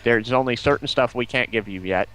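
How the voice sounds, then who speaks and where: A man's voice: mid-range voice, a little fast, a bit bright, low-quality recording. An American man talking casually as a guest on a stream. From United States. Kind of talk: casual chat.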